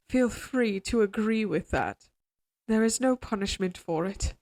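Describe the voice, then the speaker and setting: A woman playing a regal, possessive queen in an audio roleplay drama, speaking in formal, measured courtly phrases, tender yet controlling. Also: medium pitch, expressive, darkish tone. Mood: tender, reassuring.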